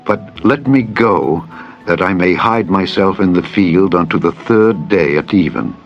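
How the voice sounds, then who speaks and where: A man's voice: deep voice, unhurried. A man voicing an anxious, pleading young fugitive in a dramatized scripture reading, speaking earnestly in solemn archaic phrasing. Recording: dull lo-fi audio with music. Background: background music.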